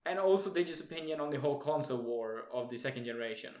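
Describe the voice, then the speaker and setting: A young man from Sweden with a Swedish accent, co-hosting a casual podcast chat, laughing often, swearing casually and pausing with fillers. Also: mid-range voice, lively intonation, darkish tone. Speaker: podcast co-host.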